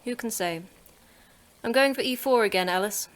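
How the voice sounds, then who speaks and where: A woman's voice: fairly high pitch, normal speed, natural voice. A woman playing the terse, dry-witted manager of a secret facility in a sci-fi horror audio drama.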